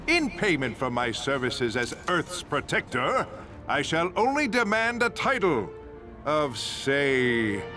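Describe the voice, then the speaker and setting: A smug supervillain schemer from a cartoon video game. He orates pompously and theatrically, in no hurry at all. His pitch rides up and down with grand self-importance, and music plays clearly behind him. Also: very animated, slow. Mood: smug, grandiose. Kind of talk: animated video game voice acting.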